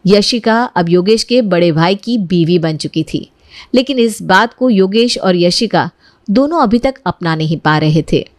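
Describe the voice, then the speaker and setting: A woman's voice: medium pitch, darkish tone, no echo, clean studio sound. A woman narrating a family drama story in a scripted, dramatic storytelling style.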